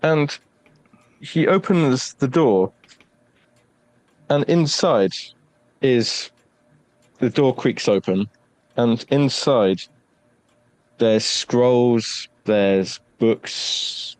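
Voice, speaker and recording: A man narrating a tabletop horror game as game master, deliberate and pausing for suspense. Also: mid-range voice, a little mellow, no echo.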